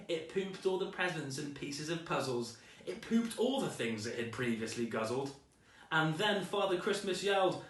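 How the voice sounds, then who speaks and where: A man's voice: on the high side, a bit bright. A man reading a children's storybook aloud with dramatic, rhythmic delivery, recorded at a distance in an echoey room.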